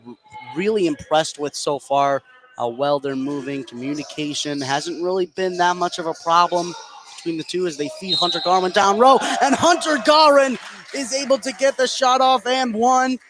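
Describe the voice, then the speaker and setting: A man's voice: high voice, moderate pace, background noise. A man giving live play-by-play commentary on a basketball game for radio.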